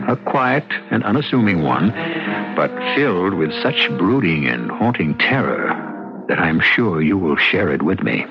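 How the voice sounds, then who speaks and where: A man hosting a radio mystery series with ominous, deliberate, theatrical scripted narration. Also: deep voice. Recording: dull old radio recording with loud music.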